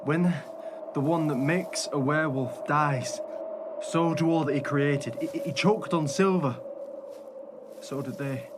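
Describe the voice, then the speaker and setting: Guy playing a scheming hotel manager werewolf in a radio drama, casual slippery way of talking, loud background noise. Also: on the high side, measured pace, natural voice. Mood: grave, calm. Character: calculating male hotel manager, secretly a gloating werewolf. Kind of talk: radio play acting. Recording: noisy recording with loud background ambience.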